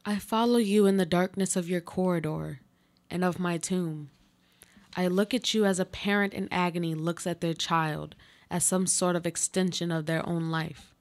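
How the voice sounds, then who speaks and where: A woman playing a frail but resilient dying woman in a live radio play, speaking in a solemn, deliberate, poetic way. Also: lowish voice, steady tone, natural voice. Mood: fading, tender. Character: frail dying woman, resilient, addressing her other self.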